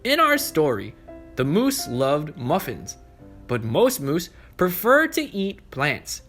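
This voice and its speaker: A man's voice: fairly high pitch, huge pitch swings, slow, fairly bright tone. A man hosting preschool story time, reading aloud and explaining things simply and expressively to young children. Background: background music.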